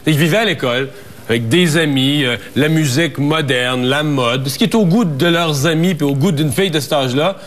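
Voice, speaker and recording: A man's voice: a little high, fairly quick. A man from Quebec with a Quebec French accent, hosting a broadcast interview in an informal, colloquial way. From Quebec, Canada. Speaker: talk show host.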